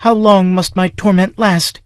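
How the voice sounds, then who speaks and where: A man's voice: high voice, steady tone, unhurried, smooth, dry recording, studio quality, pitched above the performer's own voice. A man voicing a terrified young woman who begs her captor for release. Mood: desperate pleading. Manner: desperate, begging.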